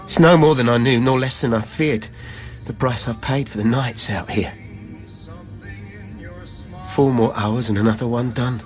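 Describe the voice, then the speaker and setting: A man acts a weary, embittered busker who sleeps in his van. He speaks resigned, matter-of-fact rhyming lines in a mid-pitched voice over faint music, as in a radio play. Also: measured pace, long pauses, lightly acted. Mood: bitter. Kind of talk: poetic radio drama monologue.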